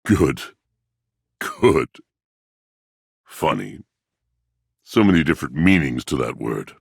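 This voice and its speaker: An actor playing a brooding, melancholy, self-pitying machine in a video game, speaking florid, poetic lines in a grand theatrical manner with a gravelly voice. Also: male voice, a bass voice, big pitch swings, takes it slowly, no echo, studio quality, exaggerated voice. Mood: melancholy, musing. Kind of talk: video game character acting.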